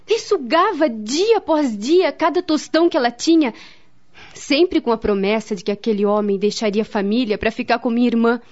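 Actress doing solemn devout brazilian woman, dramatic radio soap storytelling, fairly high voice, slightly muffled sound. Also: a little mellow, natural voice. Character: grave, devout woman friend, counselling and recalling family tragedy. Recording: dull, lo-fi radio drama recording.

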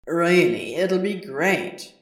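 A woman's voice: on the low side, lively intonation, takes it slowly, breathy edge, exaggerated voice. A woman voicing a flippant, exasperated, scheming male demon in a fan fiction reading. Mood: gleeful. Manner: flippant, sardonic. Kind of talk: audio fan fiction dialogue.

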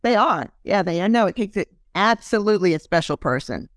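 A woman's voice: medium pitch, lively intonation, fairly quick, no echo, studio quality. A woman hosting a podcast in casual interview chat, talking loosely with many ums and fillers. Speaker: podcast host.

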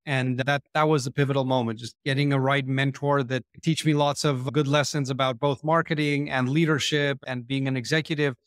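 A man's voice: medium pitch, steady tone, brisk, few pauses, no room sound, clean studio sound. A man in his forties with an Israeli accent, a podcast guest telling his career story in a candid, self-deprecating way. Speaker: guest, marketing executive. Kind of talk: podcast interview.

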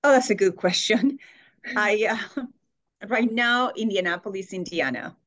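A woman, answering interview questions warmly and pausing to search for words. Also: mid-range voice, no echo. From Colombia. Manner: warm, searching for words, laughs often. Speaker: guest.

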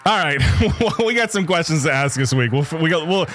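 A man's voice: on the high side, a little fast, faint music behind. A man hosting a local sports talk show, chatting casually, laughing often and reading out sponsors. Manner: relaxed, laughs often, reads sponsor list. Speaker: sports talk radio host.

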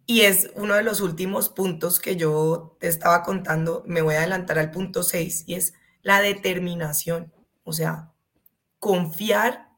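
Female consultant interviewee, Colombian accent, lowish pitch, emphatic conversational delivery. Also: a bit bright. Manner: emphatic, illustrates with rhetorical questions. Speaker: guest expert, HR consultant.

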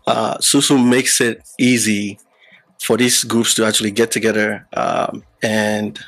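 Low gravelly guy on a podcast, lots of ums and restarts, explaining stuff. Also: flat delivery, fairly bright tone. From Ghana. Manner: halting, many fillers and restarts. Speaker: podcast guest, app founder. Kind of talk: casual explanatory interview talk.

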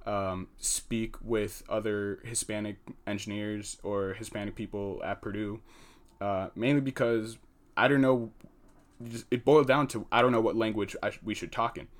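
Young American male student guest, lowish voice, earnest and halting. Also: fairly even intonation. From United States. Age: young adult. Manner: hesitant, pauses often with fillers, earnest. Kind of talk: podcast interview answer.

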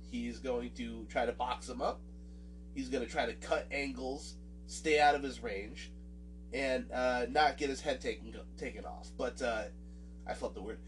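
A man's voice: medium pitch, distant mic, low-quality recording. A man hosting a podcast with a nasal voice and a swollen lip, talking casually and self-deprecatingly with many fillers and restarts. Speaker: podcast host. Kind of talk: casual solo podcast talk.

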